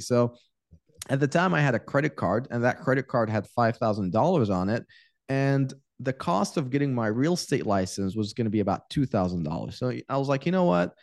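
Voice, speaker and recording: A man's voice: mid-range voice, darkish tone, no room sound, studio quality. A man in his twenties, raised in Iraq, telling his life story candidly as a podcast guest and often repeating words as he thinks. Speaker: podcast guest, real estate investor. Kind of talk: casual interview storytelling.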